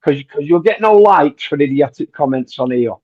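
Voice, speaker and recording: A man's voice: a little high, expressive, normal speed. A man hosting a podcast, talking casually with lots of hesitations and repeated words. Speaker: podcast host. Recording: dull lo-fi audio.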